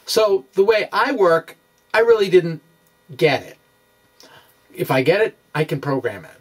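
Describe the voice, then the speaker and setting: A man giving an informal explanatory physics monologue in a halting, self-deprecating, conversational way. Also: sits high, sing-song, recorded from a distance. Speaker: amateur physics video blogger.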